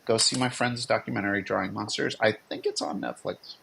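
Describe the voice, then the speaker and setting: A man's voice: on the low side, a little fast, vocal fry, fairly bright tone, slight background noise. A man co-hosting in a casual, unscripted chat, talking in a rambling, jokey way. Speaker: co-host and game developer.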